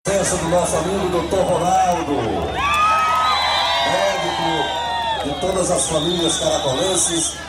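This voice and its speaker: A man's voice: high-pitched, wildly swooping pitch, unhurried, very noisy. Man announcing guests over a roaring crowd. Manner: shouted, formal introductions. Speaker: rally announcer. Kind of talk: political rally announcing. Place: outdoor town square rally. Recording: distant echoey voice in a roaring crowd.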